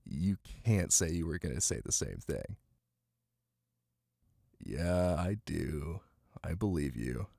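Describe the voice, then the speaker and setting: Deep, gravelly, tender boyfriend played by a man. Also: expressive, no echo, heavily acted. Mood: tender, affectionate. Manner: soft, unhurried, teasing, with murmured pauses. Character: affectionate, playful, ticklish boyfriend reading aloud to partner. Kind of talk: intimate boyfriend roleplay ASMR. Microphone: close microphone. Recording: close, dry, with rain ambience. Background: rain.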